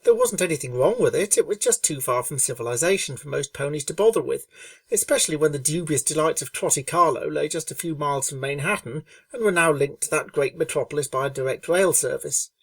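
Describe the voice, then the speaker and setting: Low-voiced woman reading a story. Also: a little fast, no room sound, far from the mic. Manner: calm, steady reading. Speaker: fanfiction reader. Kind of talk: storybook narration.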